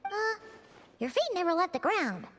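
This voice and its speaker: A woman voicing a small, excitable, childlike fairy companion in an anime video game, with a squeaky, questioning manner. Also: normal speed, slight background noise, full character voice. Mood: curious. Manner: refers to self in third person, questioning.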